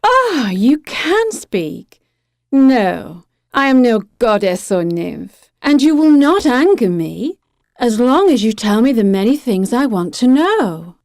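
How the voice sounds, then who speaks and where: A woman plays a young siren in an audio drama, imperious and cruelly playful. She speaks slowly and willfully, with very animated pitch, in a close, dry recording. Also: no echo, heavily acted. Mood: curious, teasing. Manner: willful, teasing, deliberate.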